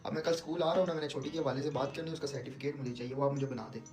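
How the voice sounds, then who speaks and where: A young man pleads and insists at a rapid clip. His voice is fairly low-key in pitch for banter, and he keeps repeating himself as a prank caller, with music playing behind him. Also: steady tone. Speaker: prank caller and show host. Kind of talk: prank phone call banter.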